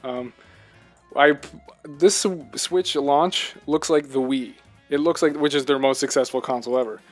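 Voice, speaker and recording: A man's voice: medium pitch, measured pace, soft background music. A man co-hosting a casual video game discussion, talking in an earnest, emphatic way and often asking rhetorical questions. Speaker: podcast co-host.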